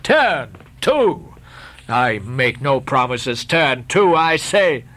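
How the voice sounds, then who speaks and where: A man voicing a harsh ship's captain who roars slow, drawn-out commands at his crew. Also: medium pitch, very animated, a bit bright, exaggerated voice. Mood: roaring orders. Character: stern shouting ship captain, man, harsh.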